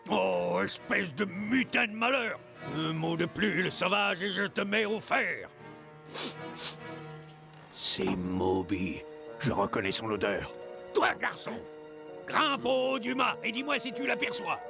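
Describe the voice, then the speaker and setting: An actor playing a vengeful, bossy whaling captain in a cartoon, with a gravelly voice and theatrical, commanding delivery. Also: male voice, high voice, big pitch swings, slow, heavily acted. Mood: angry, menacing. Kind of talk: French cartoon dub acting. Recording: music behind the voice.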